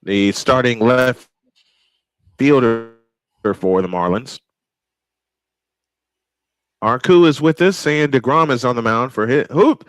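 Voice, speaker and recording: A man's voice: medium pitch, takes it slowly, pauses often, no echo. Man, old-school play-by-play caller, folksy, dry close studio mic. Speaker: play-by-play announcer. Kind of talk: live baseball play-by-play.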